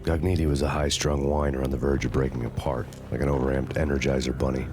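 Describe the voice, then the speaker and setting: A deep, deadpan noir cop. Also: male voice, quick pace. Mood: grim. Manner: flat, dry, cynical deadpan. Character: weary hardboiled male cop narrating, grim. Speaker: narrator and hero of the game. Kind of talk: noir game narration. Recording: game soundtrack music behind.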